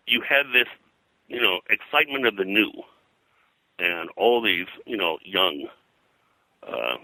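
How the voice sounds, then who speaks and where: An older American man reminiscing as an interview guest, in a halting, rambling way. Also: fairly low pitch, creaky, bright, forceful tone, no echo, low-quality recording. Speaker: guest, comics colorist and publisher.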